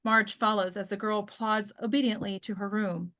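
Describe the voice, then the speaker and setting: A woman's voice: mid-range voice, fairly even intonation, moderate pace, fairly bright tone. Woman reading screenplay stage directions. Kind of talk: scripted narration at a table read.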